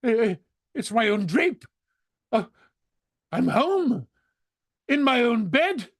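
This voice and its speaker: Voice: medium pitch, very animated, slow pace, no echo, studio quality, heavily acted. An actor playing an elderly reformed miser in a vintage radio drama, stammering and exclaiming with great feeling. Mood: desperate pleading. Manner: stammering, breathless exclamations with frequent chuckles.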